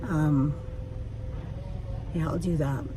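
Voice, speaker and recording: Raspy-voiced woman, unhurried and blunt, car recording with clear road noise. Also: low-pitched, steady tone, far from the mic. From Canada. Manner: candid, frank, swears freely. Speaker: vlogger. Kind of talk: casual chat while driving. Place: inside a car. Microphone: phone or camera mounted in the car.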